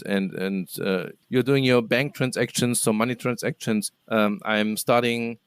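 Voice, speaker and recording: Smooth-voiced man, dry close sound. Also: on the low side, no room sound. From Germany. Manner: hesitant, many fillers and restarts. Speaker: guest, business development manager. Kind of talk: casual interview answers.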